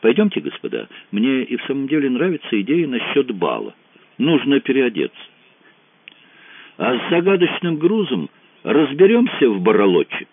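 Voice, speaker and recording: A man's voice: medium pitch, huge pitch swings, normal speed, voice pushed up. Man reading as a teasing young woman. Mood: brisk. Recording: dry, narrow phone-like sound.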